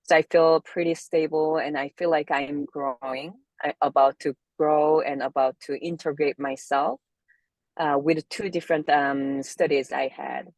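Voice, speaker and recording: A woman's voice: low voice, fairly even intonation, unhurried, darkish tone, no echo, rough recording. A woman from South Korea with a Korean accent, speaking reflectively as an interview guest and often searching for words. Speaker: interview guest, somatic movement therapist.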